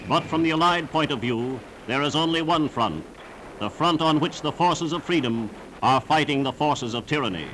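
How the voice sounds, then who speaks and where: A man's voice: a little high, expressive, moderate pace, background noise. A man reading scripted 1940s newsreel narration in a formal, emphatic, declamatory manner on a vintage soundtrack.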